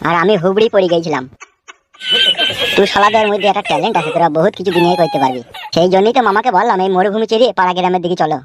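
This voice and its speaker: A woman's voice: lowish voice, very fast, heavy background noise, natural voice. A woman voicing a cocky young male village layabout in a comedy cartoon. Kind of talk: comic cartoon dialogue.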